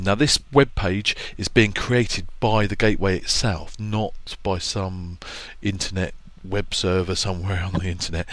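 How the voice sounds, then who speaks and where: A man talks through a product setup in a very low, easygoing voice. He pauses often with ums and restarts as he explains each step, recorded as a voice-over on a screen capture. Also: no echo. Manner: relaxed, lots of ums and restarts, plainspoken. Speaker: product presenter for a marine electronics maker.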